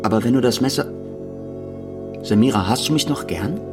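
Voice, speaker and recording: An actor playing an anxious writer pleading with his partner in a naturalistic radio drama, halting and urgent. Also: mid-range voice, lively intonation, music in the background, close to an everyday voice. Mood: hurt, pleading.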